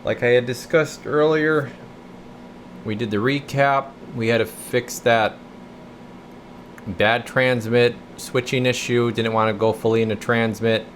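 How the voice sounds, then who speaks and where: A man's voice: mid-range voice, fairly even intonation, unhurried, a little hiss or hum. A man giving a casual, folksy, rambling walkthrough as a repair technician, thinking aloud as he goes. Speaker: radio repair technician presenting his repair videos. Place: radio repair workshop.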